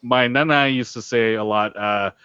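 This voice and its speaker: A man's voice: medium pitch, moderate pace, bright, forceful tone, smooth, no echo, lo-fi sound. A man who is a guest on a podcast, talking hesitantly with many fillers. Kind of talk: casual chat.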